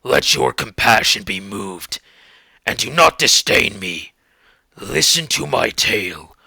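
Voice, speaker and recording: A wretched, eloquent monster, speaks in a low, heavily creaking rasp. He delivers his literary monologue slowly and theatrically, in archaic words, bitter and anguished toward his creator. The recording is close and dry. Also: sing-song, bright, forceful tone, no room sound, full character voice, rough recording. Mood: bitter, impassioned. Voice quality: gravelly, rasping.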